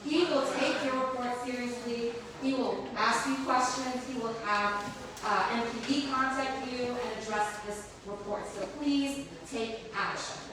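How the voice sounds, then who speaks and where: Emphatic woman panelist, loud voice. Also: a little high, a little slow. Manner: energetic and emphatic, says she has a loud voice. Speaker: panelist from a human rights office. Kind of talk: explaining to a public audience. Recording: echoey room, mic from a distance, background noise.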